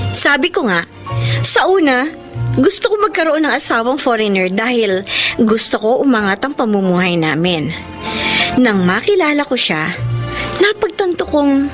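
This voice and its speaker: A woman playing a devoted, excitable young Filipina in a radio drama, speaking expressively with a Filipino accent. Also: on the high side. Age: young adult. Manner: earnest, expressive. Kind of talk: radio drama acting and first-person narration.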